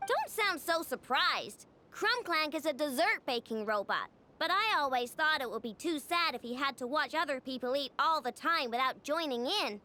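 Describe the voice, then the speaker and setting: A woman's voice: high voice, lively intonation, normal speed, hardly any pauses, sharp tone. A woman voicing a spirited young girl inventor in a game, determined and protective of her robot. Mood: explaining. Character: earnest young female inventor, stubborn and kind-hearted. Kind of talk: game dialogue voice acting.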